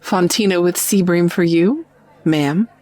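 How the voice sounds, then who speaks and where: A woman's voice: fairly low pitch, unhurried, mellow, soft background music, lightly acted. A woman playing a polite, attentive restaurant server in an audio roleplay, with a formal service tone.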